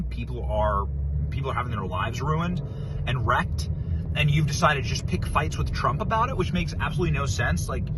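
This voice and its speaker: A man's voice: on the high side, noisy background. A man with an American accent giving opinionated, incredulous political commentary in an informal, emphatic way as a show host.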